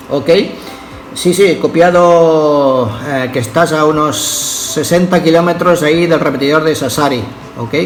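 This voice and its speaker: A man with a slightly high voice talks casually as a radio amateur, giving call signs and checking in as he goes. His phrasing is hesitant. The sound is roomy and echoey, with faint noise. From Catalonia, Spain.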